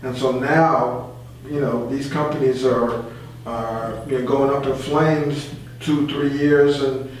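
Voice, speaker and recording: A man recorded in a heavily reverberant room with faint noise behind him. He talks in an earnest radio discussion, choosing his words slowly and with pauses. He sounds like a community guest explaining his views. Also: medium pitch, steady tone, darkish tone. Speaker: community board member and guest. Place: echoey indoor room. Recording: very echoey room, distant mic, faint hum.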